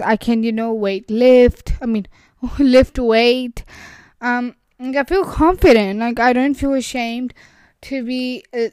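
A young woman with an Urdu accent talks on her own podcast in a loose, rambling way, leaning on "like" and restarting her sentences. Her voice sits a little high and sounds smooth and clear. The recording is close and dry, with no room sound. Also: a little mellow, no room sound. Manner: rambling, frequent "like" fillers and restarts. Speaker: podcast host. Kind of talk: casual solo podcast monologue.

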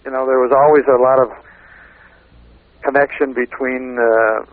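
A man's voice: medium pitch, steady tone, normal speed, dry recording. Hesitant man reminiscing over a phone line. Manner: hesitant, full of fillers. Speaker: guest, radio historian. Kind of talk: casual reminiscing conversation.

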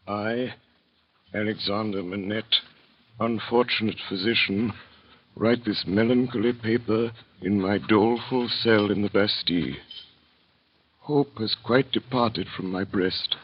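An actor playing a despairing imprisoned physician in a 1930s radio drama, speaking in a grave, measured, melancholy way. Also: male voice, lowish voice, breathy edge, exaggerated voice. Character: despairing imprisoned French physician narrating his grim past. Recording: dull lo-fi vintage radio recording.

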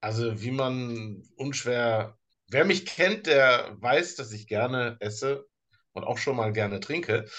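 A man's voice: medium pitch, a bit bright. A man with a German accent co-hosting a casual sports podcast, talking hesitantly with restarts. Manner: hesitant, many fillers and restarts, slow in places. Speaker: podcast co-host.

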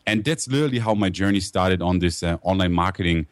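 A man's voice: low voice, quick pace, no echo, clean studio sound. A man in his thirties with a German accent, telling his story as a guest with upbeat energy. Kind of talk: interview storytelling.

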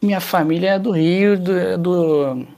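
A man's voice: high-pitched, slow, gravelly, darkish tone. A man with a Carioca accent, a political candidate answering interview questions with many hesitations. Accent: Carioca Portuguese. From Rio de Janeiro, Brazil. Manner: hesitant, many fillers and restarts. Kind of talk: interview conversation.

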